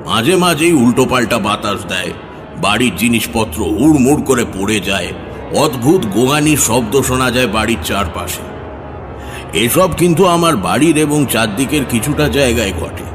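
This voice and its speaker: A man playing a troubled, courteous middle-aged gentleman in an audio horror story, speaking hesitantly. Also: mid-range voice, animated, normal speed, big-room sound, natural voice. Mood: uneasy, puzzled. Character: troubled gentleman householder, father, polite and uneasy. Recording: music bed behind the voice.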